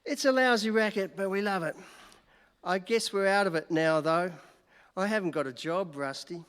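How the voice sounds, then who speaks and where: A man playing a brash, excitable New York newspaper reporter in an old-time style radio play. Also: sits high, normal speed, smooth. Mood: rueful, surprised. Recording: echoey hall, long reverb.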